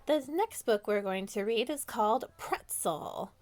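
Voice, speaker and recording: A woman reads a children's story in a fairly high voice. Her delivery is very animated and expressive, with dramatic pauses and direct questions to her young listeners. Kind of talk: animated picture-book read-aloud for young children.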